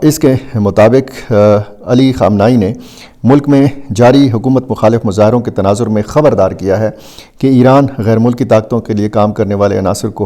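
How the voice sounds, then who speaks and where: A Pakistani man with a fairly low, very mellow voice delivers off-the-cuff news commentary. He speaks in a deliberate, halting way, often stretching out filler sounds as he explains events to his viewers. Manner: halting, deliberate, with frequent drawn-out hesitation sounds.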